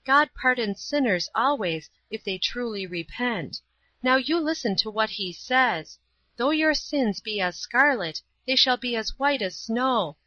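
A woman's voice: fairly high pitch, expressive, unhurried, pushed and bright, no echo, highs cut off, voice pushed up. A woman voicing a devout woman who firmly reassures a sinner with scripture. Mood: reassuring. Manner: firm, reassuring.

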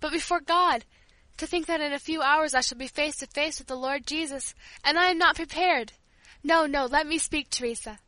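Woman acting a frightened dying mother, high and pleading. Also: normal speed, bright, forceful tone, no echo. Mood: fearful, desperate. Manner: pleading, fervent. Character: dying young mother, anxious, devout, full of fear. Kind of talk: audiobook character dialogue.

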